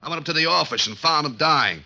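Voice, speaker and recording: A man's voice: fairly high pitch, lively intonation, fast, gravelly, bright, forceful tone, highs cut off, full character voice. An actor playing a dim, thuggish male crook in a 1940s radio drama, with slangy tough-guy bluster. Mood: menacing.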